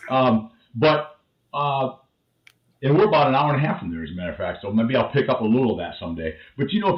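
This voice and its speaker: A man from the northern Midwest with a Midwestern accent, a show guest talking casually, often stopping and repeating words. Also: mid-range voice. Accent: Midwestern American. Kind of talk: casual conversation. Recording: dull roomy call audio.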